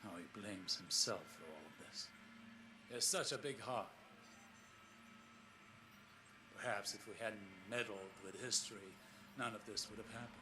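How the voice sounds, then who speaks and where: An actor playing a weary, scholarly explorer in a science fiction game, recording a log in a measured, grave, formal way with brooding pauses. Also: male voice, lowish voice, noisy background, close to an everyday voice. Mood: lonely, wistful. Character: weary, scholarly male sci-fi explorer, solemn and troubled.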